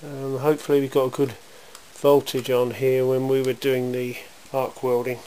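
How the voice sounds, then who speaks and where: A man giving an informal, matter-of-fact hands-on demonstration in a workshop, speaking in a measured way. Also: mid-range voice, flat delivery, dark tone. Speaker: welding demonstrator. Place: indoor cluttered workshop. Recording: hissy, noisy amateur camera sound.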